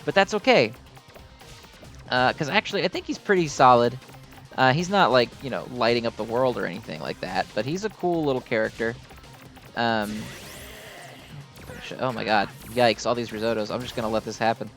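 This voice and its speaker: Male gamer, medium pitch, chatty and enthusiastic fan commentary, loosely rambling, game music underneath. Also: animated, normal speed, pauses often, a bit bright. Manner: rambling, enthusiastic fan talk with mild swearing. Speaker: gamer commenting on own gameplay. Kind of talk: casual gameplay commentary.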